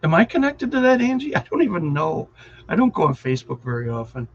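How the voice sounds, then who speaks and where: A man's voice: a little high, very animated, fast, breathy edge, lo-fi sound. A middle-aged man with a Wisconsin accent, chatting casually and rambling on a live stream, often distracted and chuckling.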